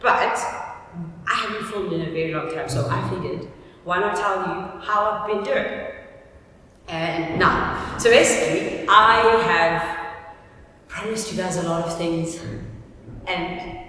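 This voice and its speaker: A woman's voice: on the low side, measured pace, pauses often, sharp tone. A woman hosting a casual chat in a playful, teasing, self-deprecating way. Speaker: vlog host. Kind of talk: casual chat to camera and guest. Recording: distant echoey room, faint noise.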